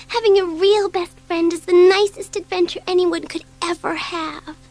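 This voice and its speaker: A woman's voice: very high-pitched, steady tone, normal speed, darkish tone, faint music behind. A woman playing a happy, sincere young girl, a loyal best friend, in a dramatised audiobook. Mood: happy, fond.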